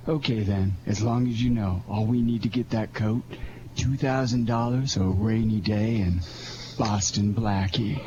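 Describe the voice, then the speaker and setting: A man's voice: fairly low pitch, steady tone, measured pace, muffled recording, lightly acted. Man playing a cocky streetwise crook, noisy recording. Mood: smug, scheming. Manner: brusque, slangy, self-assured. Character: cocky, streetwise male fur thief. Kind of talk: 1940s radio crime drama acting. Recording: noisy amateur radio drama recording.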